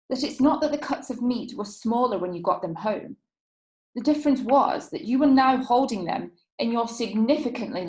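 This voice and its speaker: A woman's voice: a little high, darkish tone, roomy sound, natural voice, poor audio. An actress playing a crafty female defence lawyer in a period courtroom play, formal and insinuating. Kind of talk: theatrical courtroom play acting.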